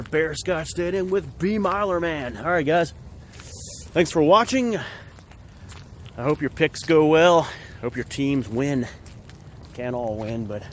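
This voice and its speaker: Man, cold-roughened voice, casual halting vlog talk on a walk outside; faint ambient noise, drifts off-mic at times. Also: mid-range voice. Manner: rambling, halting with many fillers. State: hoarse from a cold. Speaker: vlogger giving football picks. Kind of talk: casual outdoor vlog chat. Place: outdoors at an empty field in winter.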